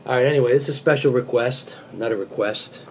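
A man in his fifties reciting in a deliberate, mock-serious way with casual asides, recorded in a small room on a webcam. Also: mid-range voice, a little hiss or hum. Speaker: comic host reading song lyrics as poetry. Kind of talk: dramatic spoken recitation of pop lyrics with casual asides.

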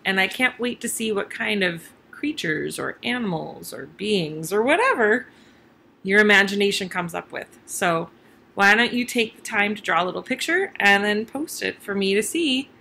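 Expressive woman presenting a children's read-aloud. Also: medium pitch, creaky, slightly rough. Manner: expressive, encouraging, addressing children. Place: small furnished room at home.

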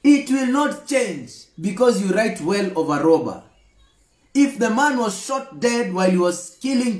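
A man's voice: on the high side, a little mellow, echoey room. A Kenyan man in his thirties preaching a daily devotional with emphatic, repetitive phrases and lots of gestures, filmed close up on a phone camera indoors. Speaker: pastor. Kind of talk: livestreamed daily devotional sermon. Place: indoor room with screen and flowers.

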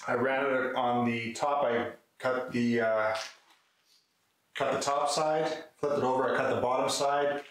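A man's voice: mid-range voice, fairly even intonation, unhurried, a bit bright. Man in an echoey garage workshop. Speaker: woodworking video host. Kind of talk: casual build walkthrough, explaining to camera. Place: concrete-floored garage workshop, indoors. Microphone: camera mic a few metres away. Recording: echoey garage, faint background noise.

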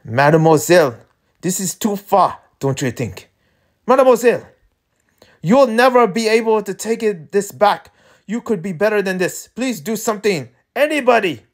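A reader puts on a haughty gentleman held captive in a story game. The delivery is formal and theatrical, with lively, swinging pitch. He sounds exasperated and indignant. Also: measured pace. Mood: pleading.

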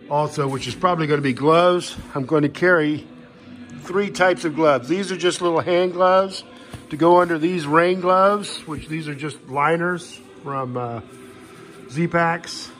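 Male hiker, slightly high voice, folksy and matter-of-fact, talking through gear off the cuff at home into a phone. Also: fairly bright tone. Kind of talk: casual unscripted gear walkthrough. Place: indoors in a home living room. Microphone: handheld phone camera, speaker behind it.

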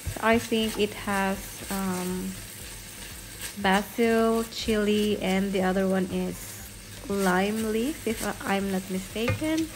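A woman narrating a home cooking video step by step in a voice-over, calm and unhurried. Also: medium pitch. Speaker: home cook vlogger. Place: home kitchen, food sizzling in pan. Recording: audible kitchen noise behind.

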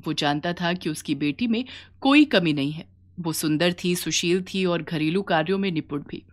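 A woman's voice: lowish voice, moderate pace. A woman reading scripted story narration as the narrator of a tale.

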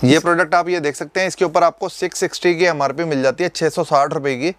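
Polite shopkeeper man pitching perfumes. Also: quick pace. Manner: polite, calls the host sir, steady salesman. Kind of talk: sales pitch. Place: indoor perfume shop.

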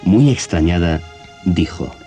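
Male children's story narrator, Castilian accent, deep register, vintage cassette with music underneath. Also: expressive, slow, mellow. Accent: Castilian Spanish. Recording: 1980s cassette, music behind.

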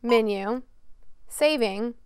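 A young woman with a very smooth, clean voice pitched a little high walks through a device demonstration. She explains each step plainly, in the instructional way of a TV weather presenter. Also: extremely slow, no echo, studio quality. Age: young adult. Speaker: TV meteorologist. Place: TV weather studio.

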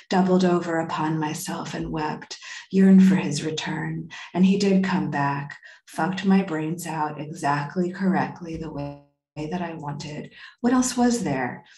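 A woman's voice: lowish voice, dark tone. A woman in her thirties, a poet speaking at a reading over a video call from a room at home. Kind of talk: poetry reading with informal remarks. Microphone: computer mic on a video call. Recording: roomy, echoey video-call audio.

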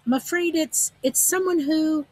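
A woman's voice: sits high, a little slow, dark tone, pitched above the performer's own voice. A woman voicing a strong, practical woman who talks firmly and reassuringly. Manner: firm and reassuring. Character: strong, practical woman gardener, brisk and protective.

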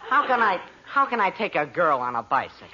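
Casting: exasperated teen boy, earnest, stammering, lively swooping delivery, muffled old radio sitcom. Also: on the high side, fairly quick, slightly rough, heavily acted. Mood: aggrieved. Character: exasperated, self-pitying fifteen-year-old boy, teenage son. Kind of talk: 1940s radio sitcom acting. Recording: dull, noisy vintage radio recording.

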